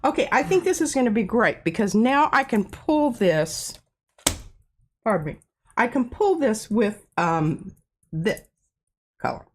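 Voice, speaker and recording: A woman's voice: a little high, lively intonation, unhurried, long pauses, husky. A woman hosting a casual art demonstration, chatty and self-deprecating as she works, a bit stuffy-nosed. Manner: chatty, self-deprecating, talks while working. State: stuffy nose. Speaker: craft show host. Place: home art studio.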